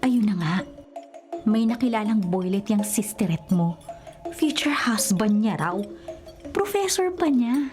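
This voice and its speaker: A young woman who is the gossipy best friend, high and very lively in pitch. She is sassy, slangy and teasing, in radio romcom style with music behind her. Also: unhurried, pauses often. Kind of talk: Filipino radio romantic comedy acting.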